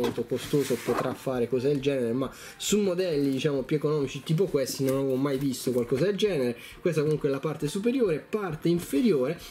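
A man's voice: fairly high pitch, warm tone. A man giving a casual, enthusiastic product review, chatting informally to viewers and often repeating himself. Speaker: toy reviewer, video host.

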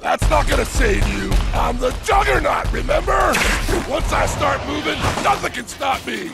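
An actor playing a huge, dim, boastful male supervillain in a video game, angry and menacing, with a gravelly, bellowing delivery. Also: very high voice, sing-song, moderate pace, heavily acted. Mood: menacing rage. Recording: loud game music over voice.

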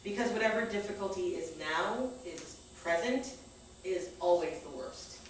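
A woman's voice: on the low side, animated, measured pace. A woman in her thirties reading a scripted personal monologue aloud on a stage. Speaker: spoken-word storyteller on stage. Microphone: far camera microphone. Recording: echoey theater, distant mic, faint noise.

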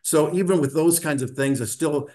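An older man with an American accent giving a measured, halting personal account as a panelist on a video call. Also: on the high side, darkish tone. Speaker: panelist sharing personal story. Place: indoor room, video call. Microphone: video call microphone.

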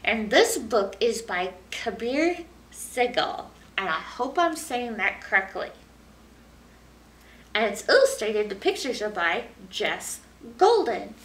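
A woman's voice: on the high side, sing-song, slow pace, fairly bright tone. A middle-aged American woman presenting a children's story time with exaggerated expression, as a librarian talking to young children. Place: indoor library room, seated on a couch. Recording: roomy, distant mic, faint noise.

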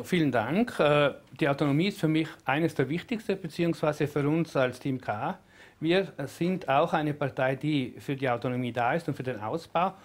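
A man in his fifties, a farmer and election candidate, speaking emphatically in a TV studio panel debate. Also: a little high.

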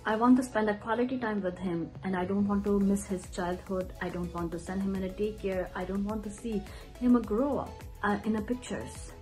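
A woman speaks sincerely, pausing now and then, close to her camera at home. Her pitch sits in the middle. Faint music plays under her. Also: fairly even intonation, a little mellow, rough recording. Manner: sincere, slightly halting. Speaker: mother running an online business. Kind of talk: promotional testimonial.

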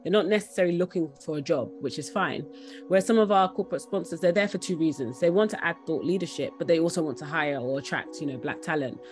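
A woman's voice: low voice, fast talker, soft background music. A young woman speaking conversationally and enthusiastically as an interview guest on a video call, repeating words for emphasis. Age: young adult. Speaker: interview guest, company founder.

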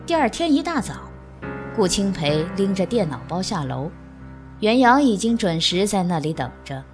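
A woman's voice: mid-range voice, big pitch swings, normal speed, natural voice. A woman delivering scripted narration for an audio drama. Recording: music under the narration. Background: music.

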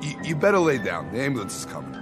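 A man's voice: medium pitch, wildly swooping pitch, fast talker, over music. An actor playing a shaken, bewildered male bus driver in a radio drama, stammering. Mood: shocked, flustered. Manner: stammering, flustered.

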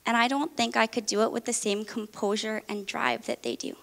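A young woman speech therapist giving an earnest, measured presentation on stage. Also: a little high, flat delivery, creaky. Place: large auditorium stage. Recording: long hall reverb.

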